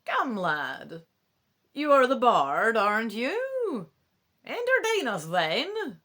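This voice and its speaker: A man's voice: very high-pitched, huge pitch swings, slow pace, sharp tone, pitched above the performer's own voice, heavily acted. A reader voicing an imperious old king from a storybook, declaiming orders to a youngster. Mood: commanding. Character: old king, booming and commanding. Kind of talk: storybook character voice.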